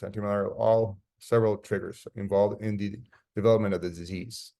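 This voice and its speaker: Low-pitched man lecturing. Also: a little mellow. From Costa Rica. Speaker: dermatologist giving a lecture. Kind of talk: medical slide presentation.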